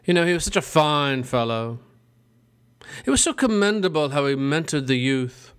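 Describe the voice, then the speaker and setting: A man's voice: on the high side, sing-song, normal speed, fairly bright tone, smooth. A man playing a theatrical, mock-genteel, ghoulish host of a horror story show. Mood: mock sorrow.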